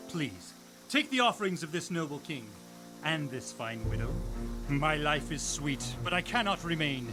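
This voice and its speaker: A noble, defiant seafaring hero played by a man, fairly high and very animated. He speaks in grand, formal radio-drama style over loud music. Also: moderate pace. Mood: noble, grateful. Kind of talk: radio drama acting and storytelling.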